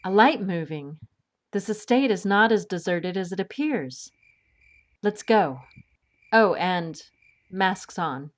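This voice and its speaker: Actress as bookish heroine, skeptical, precise, measured slow pace, medium pitch, audio drama. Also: female voice, expressive. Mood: brisk, wary. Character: sensible, bookish woman adventurer, dryly skeptical.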